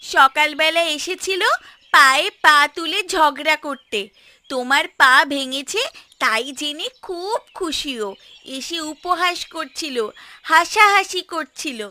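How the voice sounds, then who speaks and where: High-pitched nagging cartoon housewife played by a woman. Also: slow, sharp tone, dry recording, heavily acted. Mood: indignant. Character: scolding, sharp-tongued Bengali mother and housewife. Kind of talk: cartoon voice acting.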